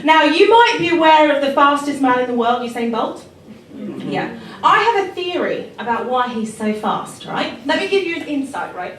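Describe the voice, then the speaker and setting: A woman's voice: sits high, sing-song, moderate pace, fairly bright tone. A woman from Jamaica performing a stand-up comedy set, wry and self-mocking, laughing at her own lines. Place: comedy club stage. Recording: echoey club from far off. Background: audience laughter.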